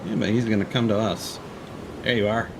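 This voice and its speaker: A man's voice: fairly low pitch, steady tone, a little fast, very noisy. A man casually commentating on his video game livestream in a dry, mildly sardonic way. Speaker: video game live streamer.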